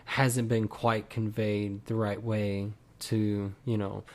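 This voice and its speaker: Low-voiced male podcast host. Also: slow pace. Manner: relaxed, chatty, lots of "like" and "you know". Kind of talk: casual interview.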